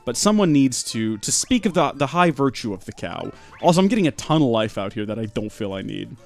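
A young man rambles through live game commentary with deadpan jokes. His pitch swings up and down a lot as he talks. Faint music plays underneath. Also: fairly quick, creaky. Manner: rambling deadpan joking, animated. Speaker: streamer.

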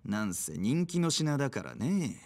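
An actor playing a stubborn, dismissive pawnshop clerk in a video game, sneering and flippant. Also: male voice, medium pitch, big pitch swings, measured pace. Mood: smug, dismissive. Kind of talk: video game cutscene acting.

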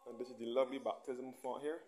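A man giving a relaxed guided walking tour, recorded on a handheld camera as he moves from a churchyard into a large church. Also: mid-range voice, fast talker, a little hiss or hum. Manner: relaxed, pointing things out as he walks. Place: outdoors in a churchyard, then inside a large church.

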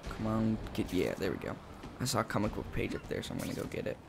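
A man casually commenting as he plays a video game, chatty and talking himself through each move, his voice gravelly after just waking up. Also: on the low side, sing-song, fast talker, background noise. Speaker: gameplay commentator. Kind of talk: casual let's-play commentary. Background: game music and sound effects.

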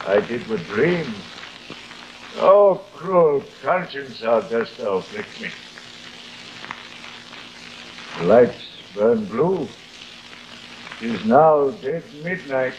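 Haunted, declamatory stage actor. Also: male voice, mid-range voice, animated, slow, darkish tone. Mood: brooding. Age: middle-aged. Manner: declamatory, dramatic. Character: haunted, grandiose middle-aged stage actor, tormented by guilt. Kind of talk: theatrical 1950s television acting. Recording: muffled, hissy old television soundtrack.

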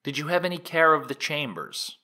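A man playing a courteous, probing prosecuting attorney in a dramatic reading of an old trial, with formal, measured questioning. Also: on the high side, sing-song, pushed and bright.

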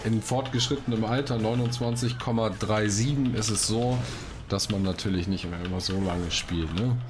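A man's voice: on the low side, moderate pace. A man doing casual let's play commentary, chatty and colloquial, often breaking off into exclamations. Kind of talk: casual gaming commentary, reading quests aloud. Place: room at home. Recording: clear background noise, typing.

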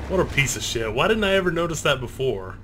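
A man's voice: on the high side, very animated, quick pace, a bit bright, a little hiss or hum. A man doing casual let's play commentary, rambling with heavy swearing and sarcasm. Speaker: gaming video host. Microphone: close gaming microphone. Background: game audio.

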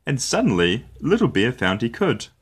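A man reading a children's picture book aloud as host, with an expressive, deliberate storytelling delivery. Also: mid-range voice, slightly rough.

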